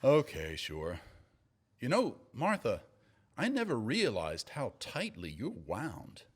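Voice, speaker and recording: A male actor's hammy TV news co-anchor for a radio comedy. His mid-pitched voice swoops with theatrical flourishes, sounding self-assured and scripted. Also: wildly swooping pitch, slow, breathy edge, exaggerated voice. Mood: chatty. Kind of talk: scripted newsreading in a comedy sketch.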